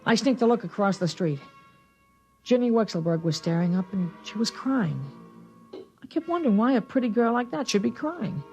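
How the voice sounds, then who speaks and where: An actor playing an excited, earnest small-town boy narrating a world event in a 1950s radio drama, with breathless, wondering delivery. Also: high-pitched, moderate pace. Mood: hushed wonder. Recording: vintage radio drama with loud music.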